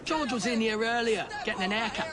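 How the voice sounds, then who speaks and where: A man's voice: high-pitched, brisk, crowd noise, lightly acted. A man playing a chatty barber and drinker in a video game. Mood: gossipy, confiding. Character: chatty London barber, a drinker with shaky hands. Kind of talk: video game dialogue acting.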